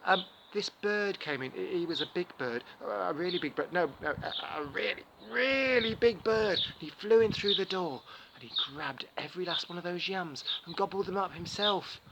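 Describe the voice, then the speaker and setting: A man's voice: a little high, moderate pace, hardly any pauses, voice pushed up, natural voice. A man voicing a crafty male spider trickster who stammers out nervous excuses. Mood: flustered. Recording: loud background noise.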